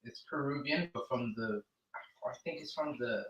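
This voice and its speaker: A woman's voice: very deep voice, moderate pace, echoey room, low-quality recording. A woman, the host's partner, chiming in off camera with teasing remarks. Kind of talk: casual chat.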